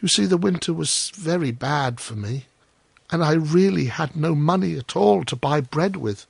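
A man's voice: on the high side, lively intonation, moderate pace, vocal fry, slightly rough, no room sound, voice pushed up, full character voice. A man voicing a humble, grateful, naive gardener in a storybook, earnest and polite. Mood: earnest, hopeful. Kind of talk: storybook character voice.

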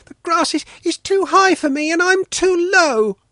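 A man's voice: high-pitched, very animated, unhurried, no room sound, clean studio sound, pitched above the performer's own voice, full character voice. A man voicing a small, curious, kind-hearted girl in a storybook reading. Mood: impatient, plaintive.

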